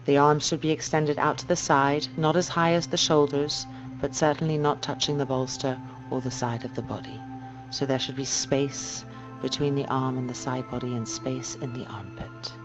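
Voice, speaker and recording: A woman yoga teacher guiding a meditation in a slow, soft, calming and measured way. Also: extremely low pitch, soft background music. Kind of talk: guided meditation instruction. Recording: dull muffled recording with noise and hum.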